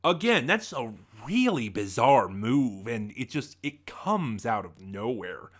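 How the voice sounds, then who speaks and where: A man's voice: mid-range voice, big pitch swings, takes it slowly. A man giving a casual spoken review as a channel host, conversational and opinionated, thinking aloud as he goes.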